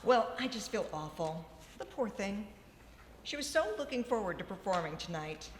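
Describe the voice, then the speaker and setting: An actress playing a sympathetic, fretting cast member in a live stage radio play. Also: female voice, medium pitch, lively intonation, normal speed, lightly acted. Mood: pitying, fretful. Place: large ballroom, live before an audience. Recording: echoey hall with audience noise.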